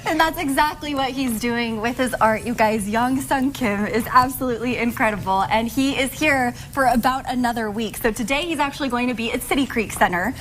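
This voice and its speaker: A woman's voice: a little high, brisk, few pauses, fairly bright tone, a little hiss or hum. A woman hosting a TV studio interview, upbeat and chatty, laughing easily with her guests. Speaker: TV show host. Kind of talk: morning TV interview.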